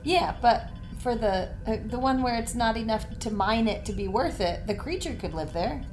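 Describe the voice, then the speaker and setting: A woman's voice: on the high side, expressive, moderate pace. A woman playing a tabletop game, asking questions in a hesitant way. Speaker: player. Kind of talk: tabletop play discussion. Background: background music.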